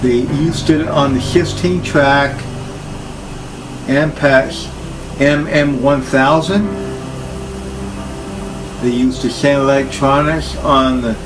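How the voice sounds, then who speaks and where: An older man gives a casual, unscripted tour of equipment at a slow pace. His voice is medium in pitch, and his delivery is plain and halting, with trailing phrases. Music is clearly audible behind him. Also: fairly even intonation, low-quality recording. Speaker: collector presenting his tape machines. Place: indoor room full of audio gear.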